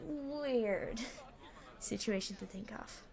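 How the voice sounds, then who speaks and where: A woman's voice: fairly high pitch, extremely slow, gravelly, a little mellow, heavily acted. A woman playing a reluctantly decent supervillain in an audio roleplay, speaking in a dry, formal, bossy, matter-of-fact way. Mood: dry, reassuring. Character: famous immortal supervillain, exasperated, reluctantly decent. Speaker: robbery leader.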